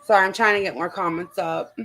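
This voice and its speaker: A woman's voice: on the low side, steady tone, brisk, a bit bright, a little hiss or hum. A woman hosting a casual group livestream, chatting and reading comments aloud. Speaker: livestream host.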